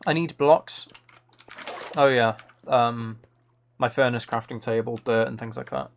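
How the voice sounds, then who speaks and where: A man streams a video game in a mid-pitched voice with plenty of vocal fry. He chats casually, muttering his thoughts aloud and answering viewers. There is faint noise behind him, with clicking keys. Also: fairly even intonation, unhurried. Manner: muttering to himself between replies to chat. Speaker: live streamer. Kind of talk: casual chat while playing a video game on a livestream.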